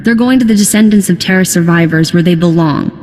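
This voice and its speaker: A woman's voice: on the low side, quick pace, darkish tone, slight background noise, natural voice. A woman playing a wry, jaded smuggling contact in a video game, speaking with dry humor. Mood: wry, frank. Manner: wry, sardonic. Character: dry, jaded but soft-hearted female smuggling contact. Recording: long reverb with music behind.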